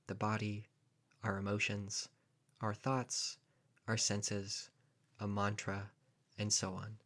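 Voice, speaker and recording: Low-voiced man guiding a meditation. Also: a bit bright. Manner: measured, deliberate phrasing with pauses. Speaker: meditation teacher. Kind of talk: guided meditation narration.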